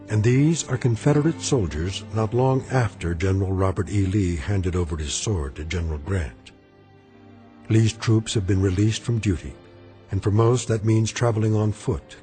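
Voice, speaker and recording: Deep, dark, solemn male narrator. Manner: solemn, grave, measured. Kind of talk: scripted dramatic narration.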